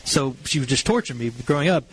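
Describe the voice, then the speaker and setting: A man's voice: mid-range voice, expressive, fast talker, slight background noise, poor audio. An American man in his twenties telling stories in a casual, self-deprecating way as a guest on a radio show. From Missouri, United States. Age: 20s. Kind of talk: casual chat, personal storytelling.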